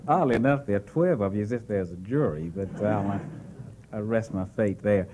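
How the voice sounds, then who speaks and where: A man speaks to a panel in a loose, semi-formal way, pausing often on "uh" and slipping in jokes. His voice sits at an ordinary male pitch. Faint noise sits underneath. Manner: relaxed and jokey, frequent "uh" hesitations. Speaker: chief public defender presenting to a panel. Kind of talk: semi-formal presentation with asides. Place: hall at a press club.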